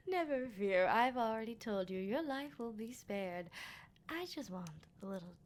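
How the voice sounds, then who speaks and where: A woman voicing a teasing, menacing vampire captor in an intimate, whispery ASMR roleplay, with a leisurely and playfully taunting delivery. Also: on the high side.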